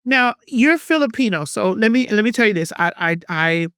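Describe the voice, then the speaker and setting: A woman's voice: medium pitch, lively intonation, normal speed, a bit bright, clear, resonant tone, no echo, studio quality. Sassy stammering woman host. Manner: sassy, stammers and repeats words, calls people honey and girl. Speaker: podcast host. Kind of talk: casual chat.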